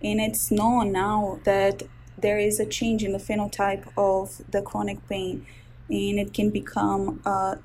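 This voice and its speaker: A woman's voice: mid-range voice, completely flat delivery, measured pace, darkish tone, slight background noise. A woman in her thirties from Brazil with a Brazilian Portuguese accent, a resident physician explaining her research in an interview with frequent hesitations. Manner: explains medical terms carefully with frequent hesitations. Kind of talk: interview answers.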